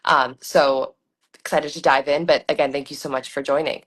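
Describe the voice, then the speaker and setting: A woman hosting an interview, enthusiastic and warm in her welcome, with frequent "um" fillers. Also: sits low, steady tone, normal speed. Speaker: interview host. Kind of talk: interview host.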